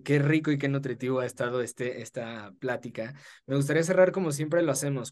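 A man's voice: mid-range voice, a little mellow. A young adult man with a mid-range voice. He hosts a conversational interview and speaks to his guest with effusive appreciation. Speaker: interview host. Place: small foam-treated room, indoors. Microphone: video call.

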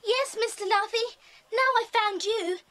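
A woman's voice: very high-pitched, a little slow, pushed and bright, exaggerated voice. An actress playing an anxious, earnest young schoolgirl in a children's audio drama, with a pleading, urgent manner. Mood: frightened pleading. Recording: noisy cassette drama recording.

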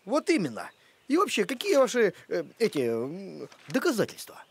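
An actor voicing a loyal, plain-spoken male talking dog in a cartoon, expressive and defensive. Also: medium pitch, normal speed, breathy edge, exaggerated voice. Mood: indignant. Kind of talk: animated cartoon dialogue.

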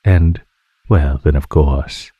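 A man voicing a predatory male naga in an ASMR roleplay, husky and breathy, teasing his prey in a mockingly sweet drawl. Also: extremely low pitch, slow, dry recording, phone-quality sound, heavily acted. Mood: amused teasing. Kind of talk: ASMR roleplay, scripted monologue.

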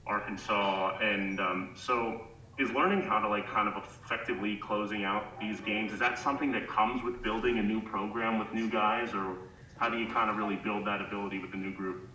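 Reporter asking from across an echoey room. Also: male voice, lowish voice, steady tone, sharp tone. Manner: halting, filler-heavy questioning. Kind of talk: press conference question. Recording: echoey room from far off, faint hiss.

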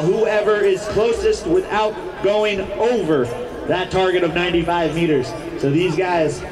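A man giving live sports commentary at an outdoor event, explaining things and urging on the crowd. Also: fairly high pitch. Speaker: ski jumping event commentator. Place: outdoor ski jump event.

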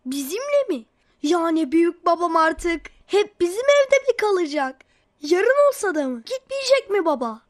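A woman voicing an eager, inquisitive, devout young child in a children's cartoon, talking in an excitable, childlike way. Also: very high-pitched, normal speed. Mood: curious, hopeful. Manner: childlike, excitable, full of questions.

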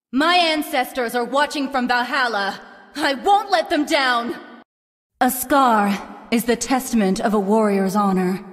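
Casting: noble warrior heroine for a game, high solemn delivery, big reverb over music. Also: female voice. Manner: solemn, declamatory. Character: proud, noble young female warrior of the north. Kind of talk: video game hero voice lines. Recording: long reverb, music behind.

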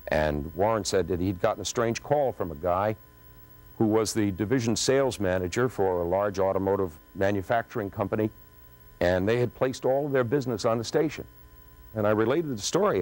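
A middle-aged man with a very low voice tells a story from his radio career. His phrasing is polished, deliberate and dramatic, like a practised broadcaster on stage. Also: darkish tone, poor audio. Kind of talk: polished anecdotal storytelling to an audience. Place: on a stage in front of a curtain.

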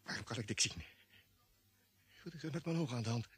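An actor playing Jimmy, an excitable, easily panicked space crewman who stammers and repeats words in a Dutch radio drama. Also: medium pitch, fast talker, husky. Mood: bewildered, self-calming.

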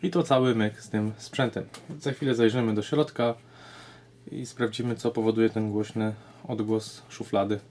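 A man talks through a casual vlog showcase in a flat, fairly low voice. He explains things in short, hesitant, unscripted phrases, with faint noise in the recording. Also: moderate pace. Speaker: host of an audio gear repair channel.

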